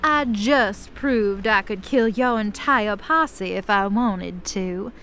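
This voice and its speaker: A woman voicing a cocky female demon with a softer side in an audio drama, in an unhurried manner. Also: medium pitch, slight background noise. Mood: smug.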